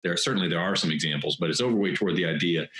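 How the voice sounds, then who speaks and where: A man's voice: deep voice, very fast, a bit bright, reverberant. A man hosting an interview, casually summing up his guest's books and stammering a little at the start of his sentences. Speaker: host.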